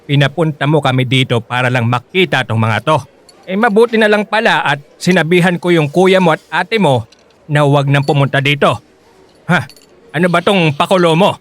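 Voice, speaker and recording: A man's voice: a little high, normal speed, lightly acted. A man playing a blunt, scolding middle-aged father who disapproves, in a Filipino radio drama. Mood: irritated. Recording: loud music under the voice.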